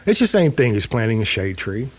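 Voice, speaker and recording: A man's voice: on the low side, very animated, fairly quick, slight background noise. A man in his fifties hosting a podcast, talking casually and emphatically, repeating phrases to drive a point home. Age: 50s. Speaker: podcast host.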